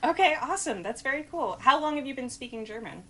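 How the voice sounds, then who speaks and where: A woman's voice: sits high, animated, quick pace, fairly bright tone, breathy edge, low-quality recording. A woman hosting a casual language quiz video, chatty and upbeat, with frequent laughter in her talk. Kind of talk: casual game-show presenting and chat.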